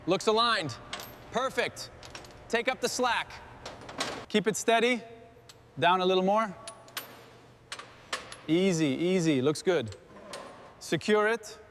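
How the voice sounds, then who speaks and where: High-voiced man giving clipped shop orders. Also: takes it slowly, long pauses, fairly bright tone, a little hiss or hum. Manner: terse, clipped instructions. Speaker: restoration worker. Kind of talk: scripted workshop dialogue.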